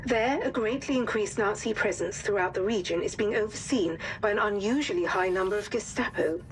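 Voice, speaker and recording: A woman's voice: medium pitch, a little hiss or hum, natural voice, low-quality recording. A woman playing a composed wartime intelligence officer, delivering formal, authoritative mission briefings in a video game. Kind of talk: scripted mission briefing narration.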